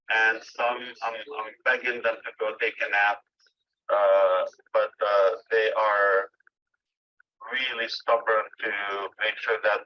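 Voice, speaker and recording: A man giving a company update over a phone call, pausing often with filler sounds as he searches for words. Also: fairly low pitch, slight background noise. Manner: halting, many filler pauses. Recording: dull lo-fi phone line.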